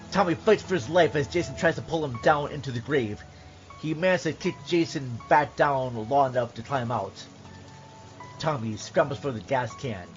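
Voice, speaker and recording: A man reading a scripted scene aloud as a podcast host, with emphatic, suspenseful delivery. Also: mid-range voice. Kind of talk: dramatic script narration and casual chat. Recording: dull lo-fi audio.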